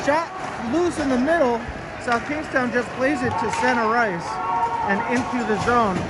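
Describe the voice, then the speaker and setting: A hoarse man with a cold, calling hockey play-by-play in a brisk, matter-of-fact way. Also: very high-pitched, over a noisy crowd, very noisy. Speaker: play-by-play commentator.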